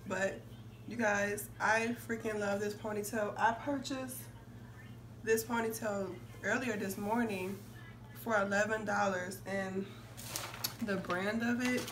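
A young woman with a somewhat hoarse, congested voice chatting casually and emphatically to camera as a beauty vlogger. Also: medium pitch, steady tone, slow pace, fairly bright tone. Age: young adult. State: hoarse from a cold, clearing throat. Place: indoor room with curtains. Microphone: phone facing her, a few feet away. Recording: distant phone mic, noisy room.

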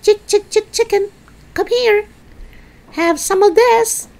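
A woman voicing an eager, cheeky young boy who calls out excitedly, in a children's storybook. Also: extremely high pitch, slow pace, gravelly, pitched above the performer's own voice, exaggerated voice. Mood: coaxing. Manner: calling out excitedly.

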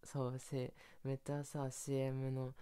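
A man's voice: medium pitch, completely flat delivery, unhurried, warm tone, clear, resonant tone, natural voice. A young man acting as an affectionate dog owner in an ASMR roleplay, cooing and playfully baby-talking to his pet. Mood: doting. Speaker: ASMR voice performer. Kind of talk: Japanese ASMR situation voice roleplay.

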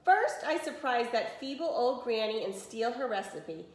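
A sly, greedy storybook wolf, put on by a woman reading aloud, crooning and scheming with theatrical relish. The voice sits on the high side and has a roomy echo. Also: big pitch swings, moderate pace. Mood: gleeful scheming. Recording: roomy echo of a small room.

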